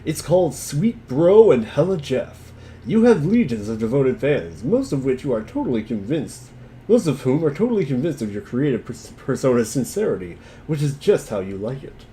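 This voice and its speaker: A man's voice: medium pitch, big pitch swings, moderate pace, warm tone, a little hiss or hum, low-quality recording. A man narrating an amateur group dramatic reading in a jokey, theatrical way. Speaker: narrator and reader.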